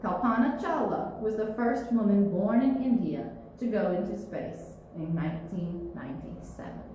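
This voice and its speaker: Female children's librarian, read-aloud narration, expressive and animated, clear phrasing, heavily reverberant room. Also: mid-range voice, moderate pace. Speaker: children's librarian reading storytime. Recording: very echoey room, faint noise.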